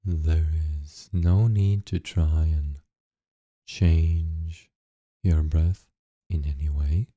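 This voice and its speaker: A man with an extremely low, very dark and mellow voice guides a meditation. He gives gentle instructions in short phrases, leaving long, slow pauses between them. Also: fairly even intonation, no room sound, dull audio.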